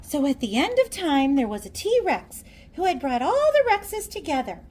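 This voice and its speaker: A woman reading a children's storybook aloud in a theatrical, deliberate storytelling manner. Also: high voice, huge pitch swings, a little mellow. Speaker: storybook reader. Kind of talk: children's read-aloud narration.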